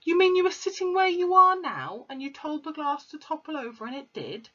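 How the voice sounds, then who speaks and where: A woman voicing a gentle, kindly young schoolteacher in a storybook, speaking softly and patiently. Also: sits high, lively intonation, brisk, voice pushed up. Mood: puzzled. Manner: soft and patient. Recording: slightly muffled recording.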